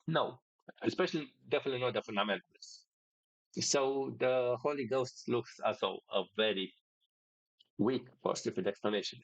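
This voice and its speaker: Romanian man speaking halting English. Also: lowish voice, no room sound, highs cut off. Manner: hesitant, many fillers and restarts in non-native English. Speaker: podcast host. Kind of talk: casual monologue.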